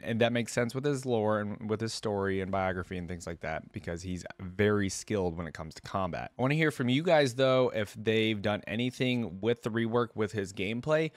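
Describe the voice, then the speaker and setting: Male reaction host, lower register, conversational and off the cuff, dry studio-close sound. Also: no echo. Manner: conversational, thinking aloud. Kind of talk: casual reaction commentary.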